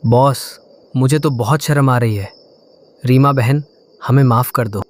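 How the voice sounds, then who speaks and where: A man's voice: medium pitch, measured pace, a little mellow, close to an everyday voice. A man voicing a timid, bumbling bird henchman in a Hindi cartoon. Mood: ashamed.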